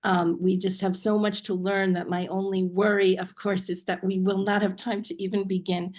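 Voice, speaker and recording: A woman's voice: medium pitch, flat delivery, moderate pace, a little mellow. A woman hosting a video webinar, introducing a guest with frequent ums as she reads a bio. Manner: hesitant, many ums while reading a bio. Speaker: webinar host. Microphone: video call.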